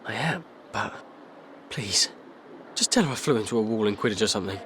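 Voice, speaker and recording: Man playing a grumbling, animated British teenage boy. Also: lowish voice, brisk, background noise, natural voice. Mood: pleading, irritated. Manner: casual, grumbling. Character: grumbling, put-upon teenage boy, casual sidekick. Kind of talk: video game voice acting.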